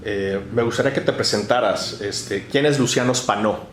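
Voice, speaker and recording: A man hosting an interview asks his questions politely and at an even, measured pace. His voice carries a clear roominess, as if he were recorded in an echoey space. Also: lowish voice, slight background noise. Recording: roomy echo.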